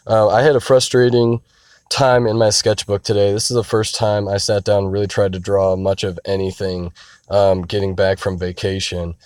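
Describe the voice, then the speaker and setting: A man in his thirties, hoarse and raspy from being sick, talking candidly and rambling earnestly from inside his parked car. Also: on the low side, little pitch movement, normal speed, darkish tone, dry recording, clean studio sound. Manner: rambling, earnest, frequent restarts and "you know". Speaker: artist vlogger. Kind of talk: candid casual talk to camera.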